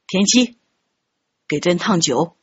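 A woman's voice: lowish voice, expressive, slow pace, muffled recording, slight background noise, pitched below the performer's own voice. A woman voicing a doting but grumbling, imperious emperor in an audiobook, with a muttering manner. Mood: commanding. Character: doting, grumbling, commanding young emperor, a man. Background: background music.

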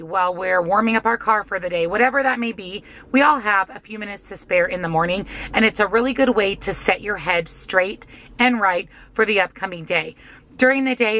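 A hoarse woman in her thirties chatting upbeat and emphatic to a phone camera from a parked car, vlogging about her week. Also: medium pitch, pushed and bright, dry recording. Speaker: weight-loss vlogger. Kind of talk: casual vlog chat to camera. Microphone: phone camera at arm's length.

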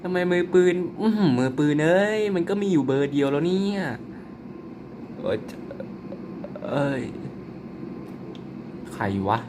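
A young man commentating his own mobile werewolf game in a chatty, excitable way, polite but slipping into laughter. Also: on the high side, measured pace, pauses often, noisy background. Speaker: gaming YouTuber.

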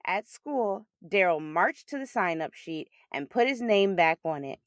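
A woman reading a children's picture book aloud as a storyteller, with expressive, emphatic delivery. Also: on the low side, unhurried, no echo, studio quality.

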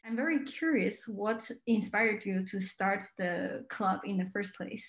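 A young woman interviews a guest in a conversational style. Her voice sits at an average pitch. The video-call audio is roomy, with clear echo around her words. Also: a little slow. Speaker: interviewer, host. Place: indoor room, on a video call.

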